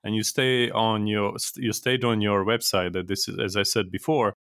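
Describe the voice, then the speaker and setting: A man hosting a podcast interview, conversational and halting, often restarting his questions. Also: on the low side, steady tone, fairly bright tone, no room sound, clean studio sound. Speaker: podcast host.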